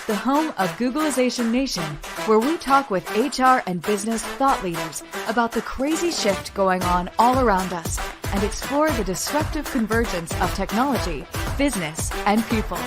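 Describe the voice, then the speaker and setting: A woman's voice: a little high, expressive, normal speed. A woman announcing a show's intro in a polished, upbeat scripted read. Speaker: show announcer. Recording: voice over clear music.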